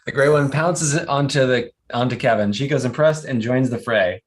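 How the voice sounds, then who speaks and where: A narrator with a husky voice reading scripted stage directions at an animated comedy table read. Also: medium pitch, normal speed, background noise.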